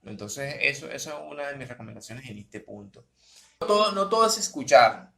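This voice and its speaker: A man from Latin America co-hosts an easygoing podcast chat, his voice gravelly with fry yet bright. He repeats words as he searches for the next thought. The sound bounces around an echoey room. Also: mid-range voice, very animated, a little fast. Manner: repeats words while thinking. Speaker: podcast co-host, English teacher.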